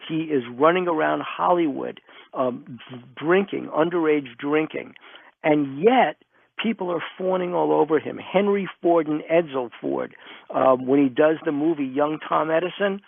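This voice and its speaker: A man speaks as an interview guest in a fairly high, slightly creaky voice. He tells stories emphatically, building each point through repetition. The sound is narrow and phone-like, as on a radio call. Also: measured pace, dry recording. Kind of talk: animated storytelling in an interview. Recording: band-limited radio interview sound, close and dry.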